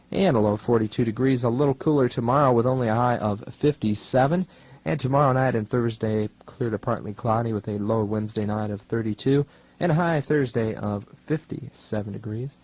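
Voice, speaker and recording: A man's voice: mid-range voice, a little mellow, poor audio. A young man working as a student DJ, talking casually between songs on college radio in a wry, rambling, self-deprecating way. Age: young adult. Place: radio studio.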